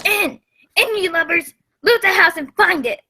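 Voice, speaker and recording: An actor playing a menacing blind pirate who barks rough orders in a radio play. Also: very high voice, moderate pace, very noisy. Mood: commanding. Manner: shouting, demanding, rough pirate talk. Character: Old Pew, menacing blind male pirate barking orders.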